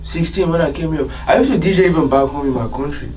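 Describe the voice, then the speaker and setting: A man, chatting casually as a podcast guest in a relaxed, chuckling way. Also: a little high, fast talker, far from the mic, soft background music. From Burkina Faso. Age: 20s. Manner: laid-back, chuckling, loose filler-heavy talk. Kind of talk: casual interview chat. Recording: voice a bit off-mic with faint room noise.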